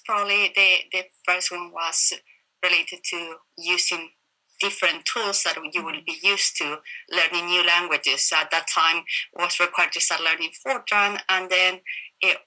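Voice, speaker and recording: Bright-voiced woman with a Chilean Spanish accent. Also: lowish voice, poor audio. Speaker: interviewed professor of computational chemistry. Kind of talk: interview answers, explaining research. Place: virtual interview over video call. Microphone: video call.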